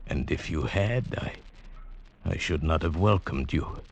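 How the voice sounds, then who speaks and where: Very deep, slow, weary mountain guide. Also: male voice, vocal fry, lo-fi sound, slight background noise, exaggerated voice. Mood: weary, mysterious. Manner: halting, solemn, deferential. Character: weary, humble male mountain guide, changed survivor returned from the dead. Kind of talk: 1940s radio acting.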